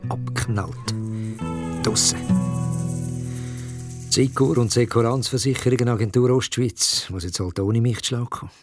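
An actor with a Swiss German accent playing a disgruntled middle-aged office clerk who talks in a hesitant, colloquial, wry way. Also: male voice, mid-range voice, steady tone, a little slow, pauses often, natural voice. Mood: bitter. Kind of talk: conversational radio drama monologue. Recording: guitar music behind. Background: plucked guitar music.

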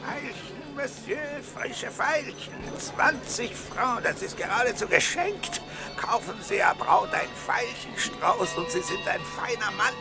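A man's voice: very high voice, lively intonation, measured pace, gravelly, heavily acted. An actor playing a talkative, mocking male violet seller in a 1960s German radio play, with theatrical, sing-song hawker's cries. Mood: hawking. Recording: 1960s radio recording, music behind.

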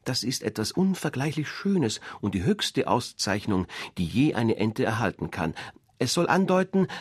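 A man's voice: husky, dry recording, studio quality. A man reading a fairy tale aloud, voicing a fussy, proud mother duck in a raised, scolding voice. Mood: bossy. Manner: shrill, scolding, bossy. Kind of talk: storybook reading.

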